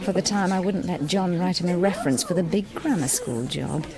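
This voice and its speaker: A woman playing a brisk, confident, commanding farmer's wife in a radio drama. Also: lowish voice, crowd noise, close to an everyday voice. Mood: private disdain.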